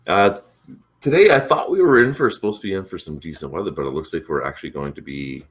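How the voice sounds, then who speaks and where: Very deep-voiced stuffed-up man. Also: moderate pace, pauses often, a bit bright. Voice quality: congested, slightly rough throat. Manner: rambling, with many fillers and restarts. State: stuffed up from allergies. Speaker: vlog host. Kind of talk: casual morning chat to camera.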